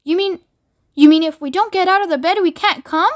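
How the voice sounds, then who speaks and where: Woman doing a shrill, wailing old granny. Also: a little fast, no echo, clean studio sound. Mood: indignant. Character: indignant, wailing, demanding old grandmother. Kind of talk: children's storybook read aloud.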